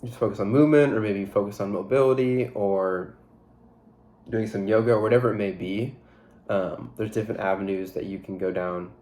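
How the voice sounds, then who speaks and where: A man's voice: fairly low pitch, reverberant. A man hosting his own fitness podcast, talking in a loose, rambling way with lots of fillers, his voice stuffy and congested from a blocked nose. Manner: relaxed, rambling, many fillers. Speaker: podcast host. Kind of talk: casual solo podcast talk.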